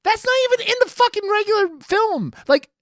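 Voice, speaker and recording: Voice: very high voice, lively intonation, fairly quick, fairly bright tone, no room sound, studio quality. A podcast host in a casual film discussion, talking informally with plenty of swearing and slang.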